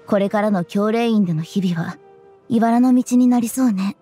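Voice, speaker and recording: A woman voicing a worried, subdued young female student in an anime game, soft and breathy. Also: mid-range voice, moderate pace, natural voice. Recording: soft music behind.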